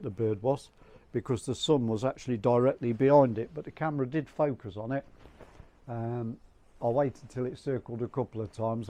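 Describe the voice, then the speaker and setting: Hoarse man chatting casually. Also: mid-range voice, dark tone. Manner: rambling, chatty, with restarts. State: hoarse from a cold. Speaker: vlogger. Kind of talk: casual chat to camera.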